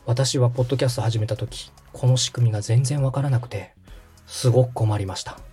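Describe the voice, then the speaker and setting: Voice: mid-range voice, fairly even intonation, a little fast, warm tone, slightly rough, soft background music. A podcast host explaining things step by step in a tutorial manner and checking that the listener follows. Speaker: host and radio director giving a tutorial.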